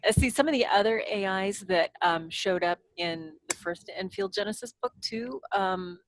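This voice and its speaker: A woman, an author on a podcast panel, chatting casually and haltingly as she searches for words. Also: mid-range voice, no room sound, low-quality recording. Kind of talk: casual chat.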